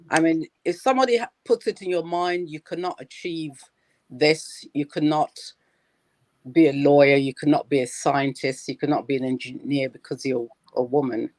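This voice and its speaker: A woman's voice: deep voice, darkish tone, no echo. A woman in her sixties, a lawyer on a discussion panel, speaking in a firm and assertive way. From Britain.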